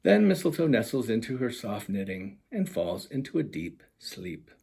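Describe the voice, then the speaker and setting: A man, an author reading his children's picture book aloud in a husky voice. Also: fairly low pitch, big pitch swings, moderate pace, mellow, slight background noise. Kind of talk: children's storytime narration.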